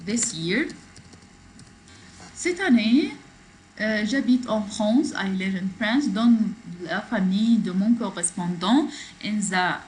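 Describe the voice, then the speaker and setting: A woman teaches French over a computer mic, going slowly and repeating phrases for her students. Her pitch sits in the middle, and she moves between French and English. A faint hum of noise runs behind her. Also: rough recording. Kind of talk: online class, reading a text and explaining it. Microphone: computer mic in a video class.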